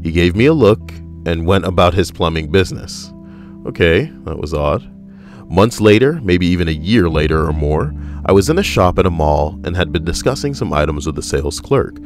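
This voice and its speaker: An extremely deep male voice, dry and deadpan, reading odd stories aloud with rambling jokes between them. Faint music plays softly underneath him. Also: moderate pace. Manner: deadpan, wry, rambling asides. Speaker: podcast co-host reading internet stories. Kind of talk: casual joking commentary.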